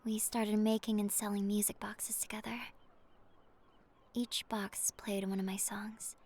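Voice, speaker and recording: A woman playing a solemn, devoted sea sorceress in an audio drama, with a tender manner that often softens toward a whisper. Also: medium pitch, little pitch movement, a little slow, slight background noise, lightly acted. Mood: gentle, nostalgic. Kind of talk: cinematic audio drama acting.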